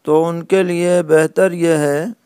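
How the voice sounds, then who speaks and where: A man who speaks as an Islamic scholar, answering religious questions in a measured, deliberate way with pauses mid-sentence. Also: a little high, steady tone, darkish tone, clean tone, dry recording. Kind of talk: religious question-and-answer lecture in plain rulings.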